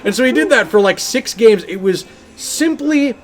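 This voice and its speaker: A man co-hosting a gaming podcast, talking casually and with animated enthusiasm about games. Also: very high voice, moderate pace, soft background music. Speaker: podcast co-host.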